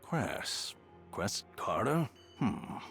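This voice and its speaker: An actor playing a scary, wary male pauper guarding the tunnels in a noir game, with a gravelly voice. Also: lowish voice, big pitch swings, very slow, fairly bright tone, faint music behind, heavily acted. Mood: suspicious.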